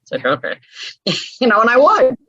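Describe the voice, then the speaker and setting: Husky-voiced woman telling her story. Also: on the high side, a little fast, no room sound, muffled recording. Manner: chatty, laughs often, lively retelling of her own past. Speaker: interview guest, author. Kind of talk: casual storytelling in an interview.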